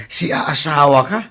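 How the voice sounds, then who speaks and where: An actor with an Ilocano accent playing a teasing, complaining tricycle driver buddy in a radio comedy drama. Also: male voice, a little high, sharp tone, breathy edge, full character voice.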